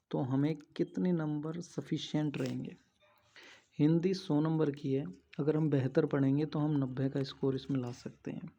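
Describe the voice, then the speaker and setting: A man's voice: a little high, dark tone. A man giving instructional exam advice as a coaching teacher, going methodically point by point. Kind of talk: instructional study-strategy talk. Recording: dull lo-fi sound.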